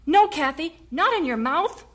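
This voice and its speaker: A woman's voice: high-pitched, animated, unhurried, fairly bright tone. A woman playing a patient missionary wife and mother in an audio drama, instructive and homely. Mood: homely, appreciative.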